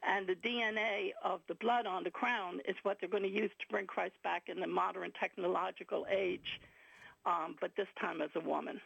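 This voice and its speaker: An older woman tells stories in a rambling, chatty way, often breaking into laughter. Her voice is very gravelly and breathy-husky. The sound is dry and dull, like an old radio broadcast. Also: mid-range voice, fairly even intonation, moderate pace, a bit bright, no room sound. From Ireland. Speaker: guest author and filmmaker. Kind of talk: casual radio interview.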